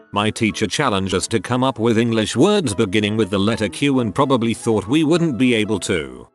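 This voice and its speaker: A man reading online stories aloud as scripted narration, steady with wry punchlines. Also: lowish voice. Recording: soft music underneath.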